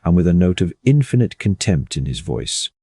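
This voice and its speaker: A man's voice: deep voice, big pitch swings, moderate pace, dark tone, breathy edge, no room sound, studio quality. A man reading scripted literary narration as an audiobook narrator.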